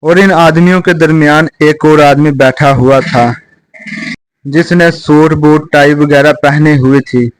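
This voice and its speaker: A man's voice: fairly high pitch, steady tone, slow pace, slight background noise, low-quality recording. A man narrating a scripted children's story as a close, dry voice-over.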